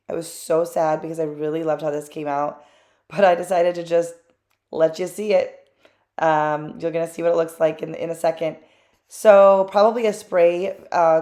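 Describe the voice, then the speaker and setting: A woman's voice: deep voice, a little mellow. A woman hosting her own craft channel, narrating a voice-over in a chatty, candid way with plenty of fillers and asides.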